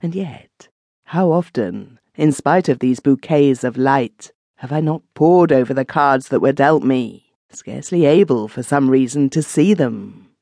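A man reads a classic ghost story as an audiobook narrator, in a measured, literary storytelling manner. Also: mid-range voice, lively intonation, vocal fry, warm tone, no echo, clean studio sound.

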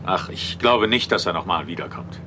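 Lebhafter, galanter Inspektor im Gruselhörspiel. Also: male voice, low voice, expressive, moderate pace, noisy background. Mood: gallant. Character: gallant male Scotland Yard ghost-hunting inspector. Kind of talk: German audio horror drama acting.

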